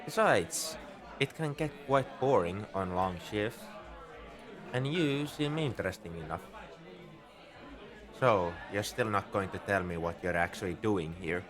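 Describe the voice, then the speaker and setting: A man's voice: lowish voice, measured pace, pauses often, natural voice. A man playing a shrewd, easygoing bartender at a space outpost in a conversational, knowing ASMR roleplay monologue. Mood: friendly, probing. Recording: crowd chatter behind the voice. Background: bar crowd murmur.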